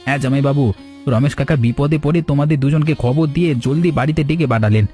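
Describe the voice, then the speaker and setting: A man's voice: a little high, fast talker, dark tone, soft background music, close to an everyday voice. A man voicing an earnest, urgent young village lad, the younger brother in a cartoon story. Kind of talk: Bengali cartoon story dialogue.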